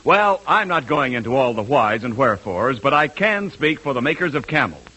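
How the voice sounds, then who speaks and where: Bright, raspy 1940s radio announcer man. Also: medium pitch, sing-song, moderate pace, low-quality recording. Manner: emphatic, declamatory. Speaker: radio announcer, sponsor pitchman. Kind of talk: 1940s radio advertising read. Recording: old 1940s radio broadcast recording.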